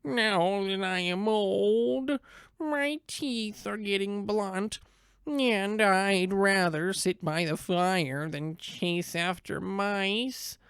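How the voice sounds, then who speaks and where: A man voicing a mournful elderly storybook cat with plaintive, drawn-out words. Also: high voice, vocal fry, fairly bright tone, clear, resonant tone, no echo, clean studio sound, pitched above the performer's own voice, heavily acted. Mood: doleful. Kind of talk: animated storybook character voice.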